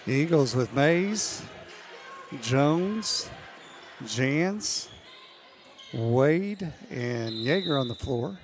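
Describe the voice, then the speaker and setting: Slow, hoarse-edged basketball announcer. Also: male voice, medium pitch. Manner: steady, measured calls that rise on big plays. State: losing his voice, clearing throat. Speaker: play-by-play announcer. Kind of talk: basketball play-by-play commentary.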